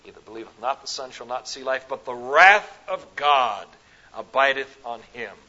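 Fervent Midwestern preacher, husky voice. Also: male voice, mid-range voice, lively intonation. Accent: Midwestern American. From American Midwest. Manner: rises to loud emphatic peaks, drops to soft slow phrases. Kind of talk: sermon. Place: conference hall. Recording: dull lo-fi recording.

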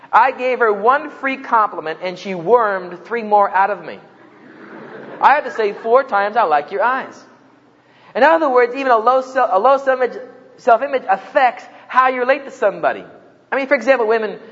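A man with a New Jersey accent speaks to a campus audience in a fairly high voice, telling jokes and stories. The sound is dull and carries a long echo from the hall around him. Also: animated, normal speed, fairly bright tone. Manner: joking storyteller, acts out quoted voices. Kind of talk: humorous public talk.

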